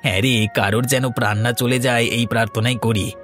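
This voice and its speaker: A worried village uncle played by a man in an animated horror story. He warns in a folksy, admonishing way at medium pitch, over faint music. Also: brisk, lightly acted. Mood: worried, warning. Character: cautious, worried village uncle warning the young. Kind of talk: dubbed horror cartoon dialogue.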